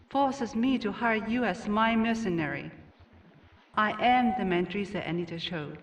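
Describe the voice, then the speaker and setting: A woman playing a stern, regal ruling noblewoman and mother in a video game, with a formal, measured, commanding manner. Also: mid-range voice, far from the mic, natural voice. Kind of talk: scripted video game cutscene acting.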